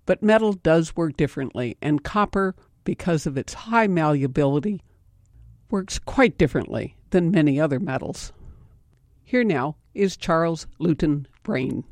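A woman hosting a public radio arts program from the studio, speaking in a measured, articulate way as she introduces and interviews a guest. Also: sits low, lively intonation, a little mellow, no room sound. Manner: measured, articulate, spells things out. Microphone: studio microphone.

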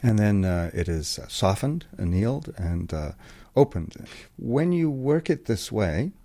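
A man's voice: lowish voice, sing-song, unhurried, mellow. A middle-aged man from England answering a radio interview as a guest metalsmith, thoughtful and hesitant, with many ums and uhs.

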